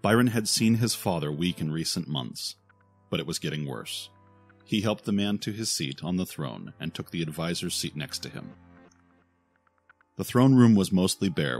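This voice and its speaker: Low, gravelly male storyteller. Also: animated, normal speed. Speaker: narrator. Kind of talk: scripted fantasy audio drama narration. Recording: faint music behind the voice.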